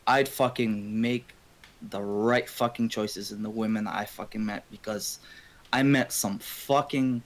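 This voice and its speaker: Foul-mouthed man in emphatic casual chat. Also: mid-range voice.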